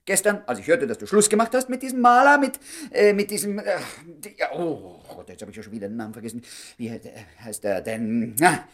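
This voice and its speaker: A man's voice: fairly high pitch, very animated, brisk, hardly any pauses, fairly bright tone. An actor playing a jealous, sardonic, lovesick man in his thirties in a radio comedy drama, blustering and stammering when worked up. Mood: passionate. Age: 30s.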